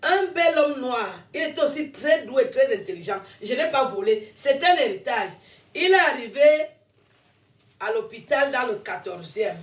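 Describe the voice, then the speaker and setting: A woman's voice: a little high, animated, normal speed. A woman in her fifties, born in France, giving a combative, rambling monologue to camera and laughing between her jabs. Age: 50s. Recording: roomy echo, distant from mic.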